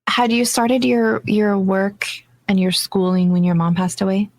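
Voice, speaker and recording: A woman hosting a podcast, asking thoughtful, gentle questions in an interview. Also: mid-range voice, level tone, a little slow, dry recording. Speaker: podcast host.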